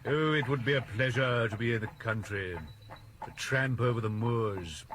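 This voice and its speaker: A man's voice: medium pitch, normal speed, lightly acted. Male actor as a grandiose Victorian actor-sleuth, British, theatrical and self-pitying, mid-range voice over light background noise, period radio drama. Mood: irritable. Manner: theatrical, pompous, world-weary.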